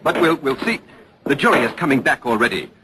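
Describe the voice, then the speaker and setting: An actor playing an earnest radio news reporter, giving dramatic, urgent and articulate live commentary in 1940s style. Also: male voice, medium pitch, animated, fairly quick, background noise, close to an everyday voice. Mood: grave disbelief. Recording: old radio broadcast with crowd murmur.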